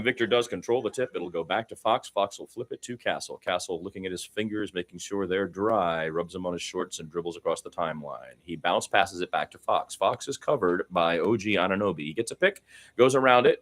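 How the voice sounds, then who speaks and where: A man's voice: mid-range voice, a bit bright. A man doing amateur radio-style basketball commentary in a conversational, folksy manner. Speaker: play-by-play commentator.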